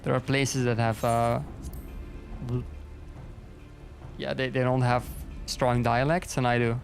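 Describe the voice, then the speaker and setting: A man's voice: mid-range voice, level tone, takes it slowly, vocal fry, soft background music. A young man from Belgium with a strong Flemish accent, a native Dutch speaker, chatting casually and rambling on a gaming livestream, swearing often. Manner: rambling, swears a lot, self-deprecating, frequent restarts. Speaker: streamer.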